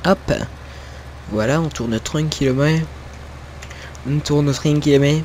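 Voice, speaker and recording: A young man from northern France, speaking in a fairly high voice over a desktop mic. He rambles through casual gameplay commentary, full of hesitations and small laughs at himself. A faint hum of a tractor engine sits in the background. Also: slow, darkish tone. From Picardy, northern France. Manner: chatty and rambling, many fillers, laughs at himself. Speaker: gaming video creator.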